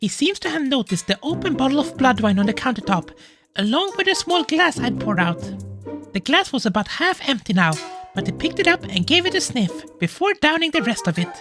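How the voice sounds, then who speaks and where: Woman voicing a high-pitched, anxious furry animal dad. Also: sing-song, fairly quick, music in the background. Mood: narrating. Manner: hesitant, stammering, emotive. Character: anxious, flustered furry animal dad, gentle and easily overwhelmed. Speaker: narrator. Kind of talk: dramatic first-person visual novel reading.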